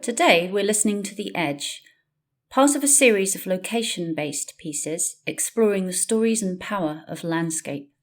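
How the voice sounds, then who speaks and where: A woman's voice: mid-range voice, expressive, normal speed, soft background music. A woman presenting a scripted podcast introduction as its host.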